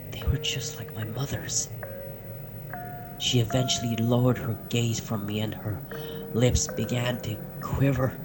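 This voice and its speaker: Slow, deliberate male horror narrator. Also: medium pitch, rough recording. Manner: slow, deliberate, with dramatic pauses and hushed moments. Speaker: narrator of a dark fiction podcast. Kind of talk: dramatic first-person horror story narration.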